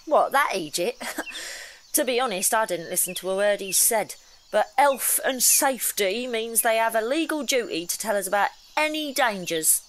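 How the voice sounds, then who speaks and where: Actress as a brash, lively millennial woman. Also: fairly high pitch, moderate pace, slight background noise, natural voice. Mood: cynical, smug. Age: young adult. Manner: flippant, cocksure. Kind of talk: audio comedy drama acting.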